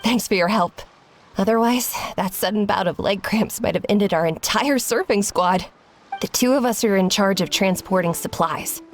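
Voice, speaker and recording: A woman's voice: medium pitch, moderate pace, close to an everyday voice. A woman playing a polite, grateful, eager young surfer in a video game. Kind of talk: video game character dialogue. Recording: faint music behind the voice.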